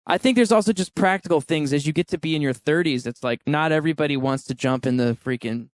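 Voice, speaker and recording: Smooth-voiced man in his thirties. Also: a little high, fast talker, no echo. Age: 30s. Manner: candid, laughs often. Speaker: podcast guest, musician. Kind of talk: casual interview chat.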